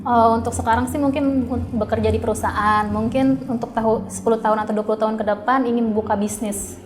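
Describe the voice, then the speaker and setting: A woman's voice: on the high side, steady tone, fast talker, roomy sound. A woman of twenty-four, answering interview questions politely and casually. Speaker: interviewee, worker.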